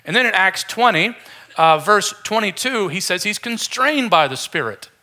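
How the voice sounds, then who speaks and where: Male preacher, slightly high pitch, forceful bright tone, informal and jokey sermon delivery. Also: animated, moderate pace. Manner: informal, joking with the congregation. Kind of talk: conversational sermon.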